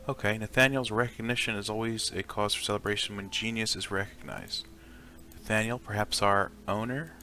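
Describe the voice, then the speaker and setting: A man's voice: on the low side, steady tone, brisk, bright, forceful tone. A man hosting a gaming video, giving casual, offhand let's play commentary as he thinks aloud. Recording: music behind the voice.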